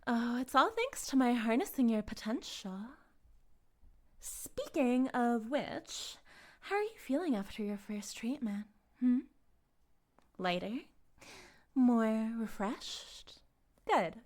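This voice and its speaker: Casting: woman to play a female cult leader captor, sweetly menacing and self-righteous, higher voice, very unhurried, theatrical cooing for intimate audio drama. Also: sing-song, a little mellow. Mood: smug, coaxing. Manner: theatrical, cooing, condescending, drifting into breathy whispers. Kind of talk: intimate audio roleplay monologue addressed to the listener.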